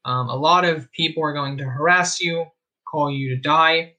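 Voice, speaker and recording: A man's voice: on the high side, moderate pace, sharp tone, rough recording. A young man from Israel hosting a livestream, talking in an earnest, reflective way with frequent fillers and restarts. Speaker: host of a live discussion stream.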